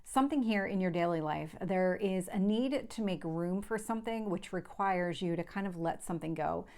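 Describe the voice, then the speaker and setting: A woman's voice: fairly low pitch, moderate pace, a little mellow, smooth. A woman with a slight lisp, giving a conversational tarot reading to viewers and explaining things in a reassuring way, often repeating points. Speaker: tarot reader, channel host.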